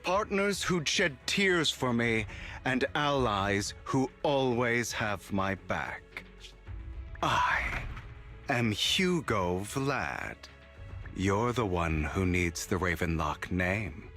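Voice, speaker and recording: A suave con artist from a video game, taunting and theatrical. The voice is fairly low and unhurried, swooping dramatically through each phrase over soft music. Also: male voice, expressive, full character voice. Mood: grandiose. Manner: slow, dramatic, taunting delivery. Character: suave, theatrical gentleman thief, mocking young con artist.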